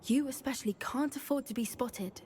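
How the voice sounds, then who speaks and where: A woman's voice: high voice, fairly quick, noisy background, lightly acted. A woman playing an earnest, determined teenage witch with a British accent in a video game, speaking in a youthful, conspiratorial way. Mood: hushed, indignant. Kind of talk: video game dialogue acting. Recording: music bed behind the voice.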